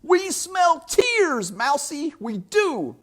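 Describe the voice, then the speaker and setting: Gleeful, bloodthirsty storybook rats, crying out in a shrill sing-song. They are loud, hungry and taunting, with wildly swooping pitch. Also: female voice, big pitch swings, very slow, exaggerated voice.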